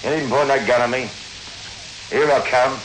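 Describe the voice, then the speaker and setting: An actor playing a wary, streetwise small-time crook at the wheel in a 1960s radio thriller. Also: male voice, medium pitch, fairly even intonation, moderate pace, natural voice. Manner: casual, wary, tough talk. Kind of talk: 1960s radio suspense acting. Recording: very noisy old radio recording.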